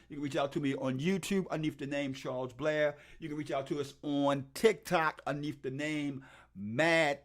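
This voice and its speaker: A middle-aged man hosting a livestream for his real estate investing channel, shouting out viewers by name and talking through his video playlists with upbeat enthusiasm. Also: mid-range voice. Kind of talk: casual livestream shout-outs and channel tour.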